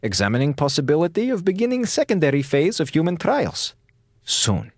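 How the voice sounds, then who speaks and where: A man's voice: on the high side, huge pitch swings, fairly quick, no room sound, clean studio sound, heavily acted. An actor playing a cold, brusque male doctor and scientist on a space station in a radio drama, speaking in formal, clipped phrases without articles. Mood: clinical, coolly satisfied.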